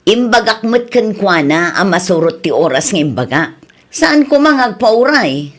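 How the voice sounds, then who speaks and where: A woman's voice: medium pitch, big pitch swings, moderate pace. A woman acting in an Ilocano comedy radio drama as an exasperated older female cousin who scolds latecomers, with an Ilocano accent. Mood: firm, annoyed.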